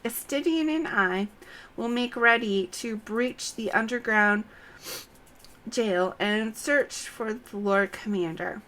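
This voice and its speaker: Woman voicing a slow, formal, resolute female knight commander. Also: fairly high pitch, fairly bright tone. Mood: resolute, commanding. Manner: formal, measured, declamatory. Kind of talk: read-aloud fantasy game dialogue.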